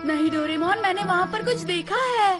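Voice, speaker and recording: A woman voicing an earnest, anxious, excitable young schoolboy in a cartoon dub, with an expressive, whiny delivery. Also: very high-pitched, fairly quick. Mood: uneasy. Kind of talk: Hindi cartoon dub acting. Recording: music under the voice.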